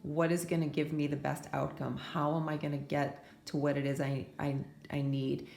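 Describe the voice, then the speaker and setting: A woman's voice: deep voice, flat delivery, normal speed, gravelly, reverberant, soft background music. A woman giving a soothing pick-a-card tarot reading, her voice a bit scratchy from allergies. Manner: soft, soothing spiritual talk with many "right?" asides. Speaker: tarot reader.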